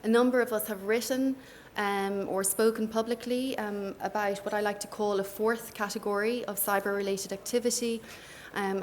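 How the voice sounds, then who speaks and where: A woman with a gentle Irish accent moderates a conference panel into a microphone. She talks softly and hesitantly, with frequent fillers. A faint hiss of noise sits under her voice. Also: medium pitch. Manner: soft-spoken, hesitant with many fillers. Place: conference hall.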